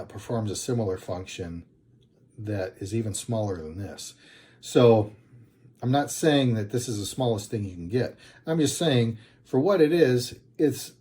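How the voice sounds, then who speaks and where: A man's voice: medium pitch, warm tone. A man giving a casual, unscripted product review in a plain-spoken, hesitant and rambling way.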